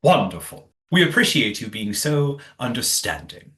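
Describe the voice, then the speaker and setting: A man's voice: mid-range voice, sing-song, moderate pace, fairly bright tone. A man playing a courteous master of a secretive old manor in an audio play, with formal, precise wording. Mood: formal, firm.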